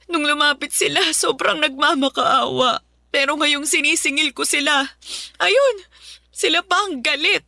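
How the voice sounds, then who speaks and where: A woman's voice: high voice, unhurried, gravelly, sharp tone, no echo, clean studio sound, exaggerated voice. An actress playing a distressed, loving mother abandoned by her husband in an emotional Tagalog radio drama. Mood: bitter, exasperated. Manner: tearful, emotional, sighing. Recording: music playing behind.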